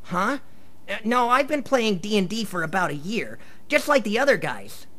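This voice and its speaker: A man's voice: high-pitched, big pitch swings, normal speed, bright, forceful tone, exaggerated voice. An actor playing a nerdy teenage boy in a radio play, earnest and defensive, hesitant and wordy. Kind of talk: live radio play acting.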